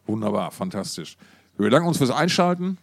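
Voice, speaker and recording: A man co-hosting a podcast in casual, colloquial chat, thinking aloud with halting phrasing. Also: mid-range voice, big pitch swings, creaky, no room sound. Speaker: podcast co-host. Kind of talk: casual chat about music.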